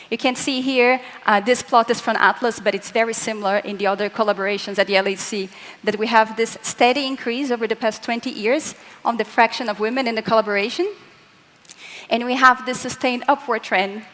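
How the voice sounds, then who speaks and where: A woman's voice: medium pitch, fairly bright tone. A young woman from Latin America, speaking English as a second language, gives an earnest, explanatory conference talk and addresses the audience directly. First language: not English. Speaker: physicist giving a conference talk. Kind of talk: conference presentation. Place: large conference hall, on stage. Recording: hall reverb from a large room.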